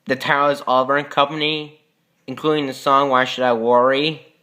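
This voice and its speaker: Bright-voiced man making an introduction. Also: mid-range voice, flat delivery, fairly quick. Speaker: uploader introducing the recording. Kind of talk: plain spoken introduction.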